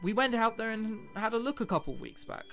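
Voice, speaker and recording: A man's voice: high voice, big pitch swings, brisk, vocal fry, a bit bright, soft background music, heavily acted. A man reading the lines of earnest, reassuring adult men in a visual novel. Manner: reassuring, explanatory. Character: earnest, placating adults: a father and a doctor.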